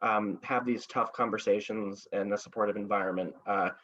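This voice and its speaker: A man speaking as an actor on a panel, reflecting in a halting, hesitant way with many pauses. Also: fairly low pitch, steady tone, a bit bright, muffled recording. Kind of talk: reflective talkback remarks.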